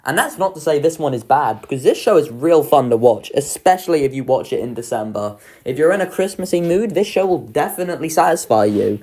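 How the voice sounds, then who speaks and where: Young adult reviewer, casual, sweary, enthusiastic, very animated intonation. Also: medium pitch, brisk, hardly any pauses. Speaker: video creator ranking children's pantomimes. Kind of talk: casual review ranking commentary.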